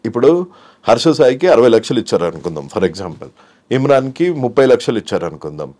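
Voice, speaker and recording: A man's voice: mid-range voice, big pitch swings, fast, warm tone. A man gives his opinions as an interview guest in a Telangana Telugu accent. He has a mid-range voice and talks casually but emphatically, circling back to his points and slipping into English phrases.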